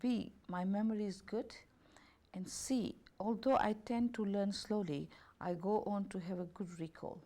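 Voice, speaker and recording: A woman therapist reading out a self-assessment questionnaire, going through each option as A, B and C at an unhurried pace, with slightly non-native grammar. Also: medium pitch, fairly even intonation, warm tone. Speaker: holistic health and beauty therapist.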